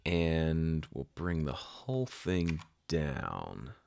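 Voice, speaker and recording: A man giving a casual, hands-on software tutorial, thinking aloud and improvising as he goes. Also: a bass voice, very animated, extremely slow, vocal fry, no echo. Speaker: music production tutorial host.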